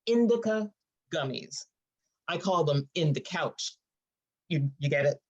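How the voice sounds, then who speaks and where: A woman's voice: low-pitched, unhurried. A woman acting a brash, playful, teasing best friend in a live dramatic script reading. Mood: upbeat. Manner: animated, teasing. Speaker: actor in a screenplay table read. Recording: dull lo-fi audio.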